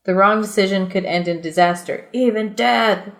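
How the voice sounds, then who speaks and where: A woman's voice: mid-range voice, normal speed. A woman hosting a comedy podcast, mixing casual chat and reading aloud with giggly, self-deprecating asides.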